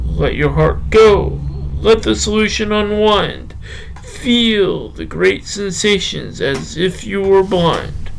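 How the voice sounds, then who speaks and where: Slow-talking earnest male podcast poet played by a man: high pitch, halting, drawn-out recital. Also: creaky, slight background noise, heavily acted, poor audio. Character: Slow Mo Joe, slow, dim, earnest male poetry show host. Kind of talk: halting poetry recital and rambling podcast talk.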